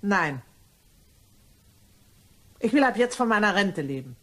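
A woman's voice: mid-range voice, very animated, takes it slowly, a bit bright, exaggerated voice. A woman playing a sly, chatty cleaning lady turned blackmailer in a crime radio play, ingratiating and mock-innocent in manner. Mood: sly, insinuating.

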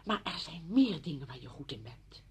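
An actress playing an exasperated, blunt, emotional neighbour in a radio play, agitated and emphatic. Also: female voice, low voice, expressive, moderate pace, slightly rough, exaggerated voice. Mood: urgent, coaxing. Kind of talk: Dutch radio play acting.

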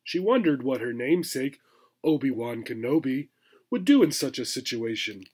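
A man, reading a children's book aloud in expressive narration. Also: mid-range voice, moderate pace, husky. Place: small furnished room indoors.

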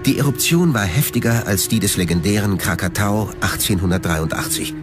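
A man narrating a scripted documentary in a measured, factual manner, recorded as a studio voice-over. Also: lowish voice. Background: background music.

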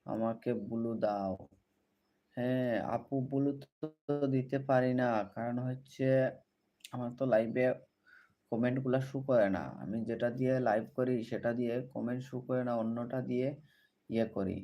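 A man's voice: medium pitch, steady tone, moderate pace, pauses often, highs cut off. A man hosting a livestream, greeting viewers and repeating set-phrase appeals to them. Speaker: livestream host. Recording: noticeable background noise.

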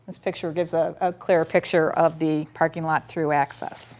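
A woman's voice: deep voice, flat delivery, moderate pace, darkish tone, a little hiss or hum. A middle-aged woman presenting a school district budget at a public board meeting, methodically reading out figures with frequent ums and restarts, picked up by a distant camera in a meeting room. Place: board meeting room, standing by a projector screen. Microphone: far camera, room audio.

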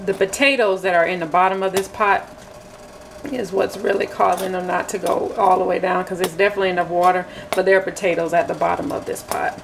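A woman's voice: mid-range voice, gravelly, a little hiss or hum. A woman from Florida chatting casually through a home cooking vlog, self-deprecating and full of fillers, recorded on a camera in her kitchen. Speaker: vlogger.

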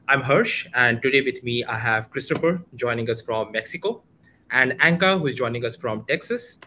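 A young man with an Indian accent hosts a livestreamed meetup in a casual, informal way. His voice is bright and forward. He is on a headset at home, with some faint noise behind him. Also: medium pitch, animated, moderate pace. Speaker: host of a community meetup. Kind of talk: casual livestream event introduction. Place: home room, indoors, video call.

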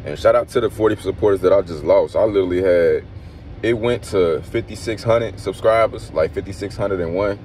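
A man's voice: low-pitched, brisk, darkish tone. A young man talking casually and rambling into a phone held at arm's length in a car at night. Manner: laid-back, rambling, slangy. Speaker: vlogger. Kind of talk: casual motivational vlog. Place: inside a parked car at night. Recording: noisy phone recording in a car.

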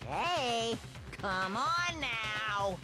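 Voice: mid-range voice, expressive, drawn-out words, music in the background. An actor playing a gleeful, malicious robot bear mascot in a video game, theatrical and singsong.

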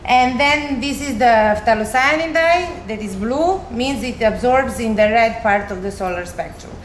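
A young woman with a Serbian accent and a fairly high voice explains science informally in an echoey room. She speaks simply and a bit hesitantly, like a researcher walking someone through her work, with faint noise in the recording. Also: measured pace. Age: young adult. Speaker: chemistry researcher, recent graduate.

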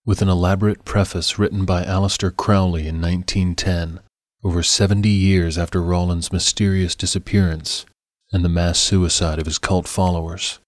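A man narrating scripted occult horror fiction in a grave, measured reading with formal diction. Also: sits low, level tone. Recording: echoey voice under loud music.

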